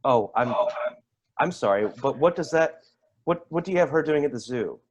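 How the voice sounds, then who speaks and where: A man plays a bitter, sarcastic guy in a stage drama. His pitch swings widely as he needles, stumbling and repeating words to make his point. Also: animated, normal speed, a little mellow, lo-fi sound, natural voice. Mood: bitter sarcasm. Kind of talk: staged play reading.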